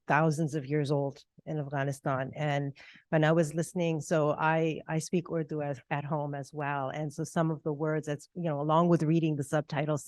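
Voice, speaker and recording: A moderator at a film festival post-screening Q&A, asking the director questions, soft-spoken with gentle affirmations. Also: mid-range voice, no room sound. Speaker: talkback moderator.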